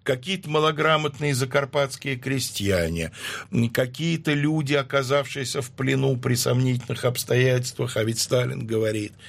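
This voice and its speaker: Man, husky voice, lecturing guest, pauses and fillers, measured, average pitch. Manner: measured, lecturing with frequent hesitations. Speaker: guest historian. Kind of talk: explanatory interview talk.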